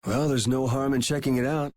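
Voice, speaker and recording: A man's voice: medium pitch, fairly even intonation, a little fast, a little mellow, no echo, natural voice. An actor playing a self-assured young male adventurer in a video game, talking in a casual, wry, plain-spoken way. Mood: wry, resolute. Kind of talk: video game cutscene acting.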